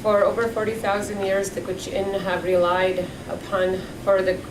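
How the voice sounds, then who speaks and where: A woman's voice: medium pitch, little pitch movement, normal speed. A woman from Alaska, a community advocate, speaking urgently at a public forum. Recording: echoey distant hall recording with noise.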